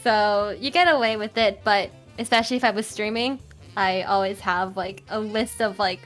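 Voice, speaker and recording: A young woman with a high-pitched, loud voice, chatting casually as an interview guest, with many fillers and restarts. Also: fairly even intonation, moderate pace, faint music behind. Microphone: home streaming mic with EQ and compressor.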